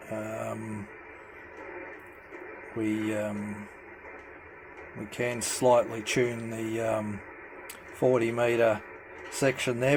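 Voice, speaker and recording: A man with a mid-pitched voice presents an informal, hands-on demonstration. He speaks haltingly, pausing often as he works. The handheld indoor recording carries some faint noise. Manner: hesitant, halting delivery with many pauses. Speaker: amateur CB radio hobbyist presenter. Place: indoor room at home with radio gear.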